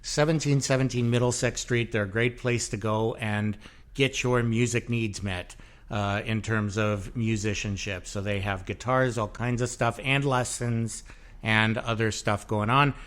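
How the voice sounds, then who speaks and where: A man's voice: fairly low pitch, steady tone, measured pace, fairly bright tone. A man hosting a podcast, chatting loosely and rambling with many fillers while he promotes his venue. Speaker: podcast host. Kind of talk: casual chat, promoting the venue.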